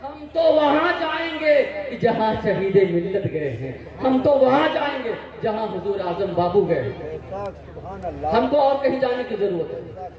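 A man giving an impassioned devotional speech at a night gathering, repeating phrases and rising toward shouts. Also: big pitch swings, unhurried, dull audio, a crowd in the background. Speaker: religious speaker at an urs gathering. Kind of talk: Urdu-flavoured devotional speech. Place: large crowded outdoor or hall gathering at night. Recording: loud booming PA echo from a distance.

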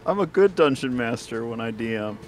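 A man's voice: medium pitch, lively intonation, brisk, a little hiss or hum. Wry man bantering over a game. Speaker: gamer. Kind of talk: casual co-op gaming chat. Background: game music.

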